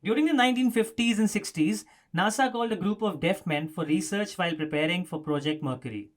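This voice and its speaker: A man's voice: high-pitched, fairly quick. A man presenting a scripted explanatory news story as a narrator.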